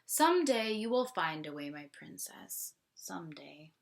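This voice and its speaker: A woman voicing a gentle, loving mother who coaxes and soothes her daughter in a picture-book read-aloud. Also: lowish voice, big pitch swings, a little slow, creaky, heavily acted. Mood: tender, soothing.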